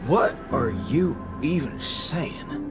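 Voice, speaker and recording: A man's voice: a little high, slow. A man voicing a sarcastic, exasperated, grumbling man in a video game. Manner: wry, exasperated. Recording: music behind the voice.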